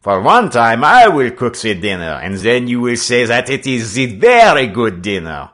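A man voicing a bullying, sly French sloop captain in an audiobook, with a French accent, shrill voice and broken, taunting English. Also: wildly swooping pitch, slow, fairly bright tone, exaggerated voice. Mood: falsely jovial. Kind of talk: audiobook character acting.

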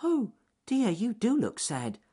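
A man voicing a small, timid, dithering male rabbit in a storybook, with a high squeaky falsetto and a fretful manner. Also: huge pitch swings, slow pace, dark tone, husky, full character voice. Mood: concerned.

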